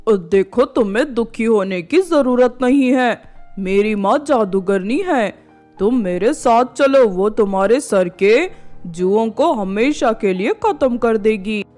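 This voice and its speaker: A woman acts out a young fisherman suitor from a children's animated tale. The voice is high and earnest, full of exclamation. Quiet music sits underneath. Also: slow. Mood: reassuring. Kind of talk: Hindi animated fairy-tale voice acting.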